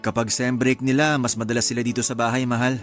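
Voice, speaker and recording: A man with a Filipino accent playing a calm, reassuring young husband in a radio drama. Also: medium pitch, fast, soft background music, close to an everyday voice. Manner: calm, conciliatory.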